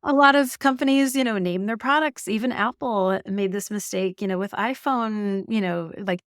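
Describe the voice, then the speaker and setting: A middle-aged woman from Canada, a marketing executive telling stories in a podcast interview, animated and laughing often. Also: mid-range voice, normal speed, clean tone, dry recording, studio quality.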